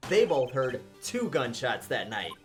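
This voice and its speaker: A woman's voice: very deep voice, big pitch swings, measured pace, over music, heavily acted. A woman voicing a wry, anxious young male defense attorney in a video game fandub, joking and dramatic. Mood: arguing.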